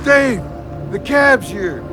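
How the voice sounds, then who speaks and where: A man's voice: very high-pitched, lively intonation, takes it slowly, exaggerated voice. A man playing a worried peacemaker in an audio drama, urgent and pleading as he tries to stop a fight. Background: music and vehicle sounds.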